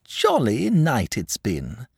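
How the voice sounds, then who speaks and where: A man's voice: medium pitch, huge pitch swings, takes it slowly, gravelly, darkish tone, breathy edge, dry recording, clean studio sound, heavily acted. A man voicing a jolly, easygoing, sleepy dragon in a storybook, rambling in clipped phrases. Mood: drowsy contentment. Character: jolly, sleepy, easygoing male dragon, lazy but genial.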